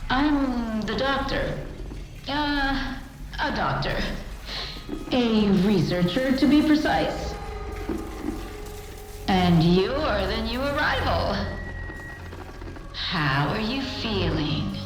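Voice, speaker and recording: Casting: imperious game scientist, mockingly sweet, high-ish and unhurried, condescending. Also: female voice, animated. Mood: mocking, brisk. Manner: condescending, teasing. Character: sardonic, imperious female scientist, mockingly sweet and self-amused. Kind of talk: dramatic game cutscene acting. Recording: echoey space with music behind. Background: music.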